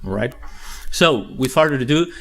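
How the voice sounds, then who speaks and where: A man's voice: medium pitch, expressive, normal speed, creaky. A man presenting a conference panel, introducing the speakers with frequent hesitations and fillers. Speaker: event presenter. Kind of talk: event introduction.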